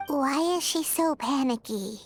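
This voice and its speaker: A woman voicing a tiny, chirpy, outspoken fairy companion in a video game, with a squeaky childlike voice. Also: expressive, a little slow. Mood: concerned. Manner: speaks of self in third person, blunt and curious. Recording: background music.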